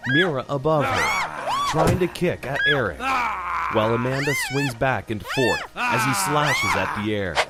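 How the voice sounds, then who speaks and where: A man's voice: a little high, huge pitch swings, a little slow, vocal fry, raised voice, loud music behind the voice. A man reading dramatic scripted narration for a horror audio drama.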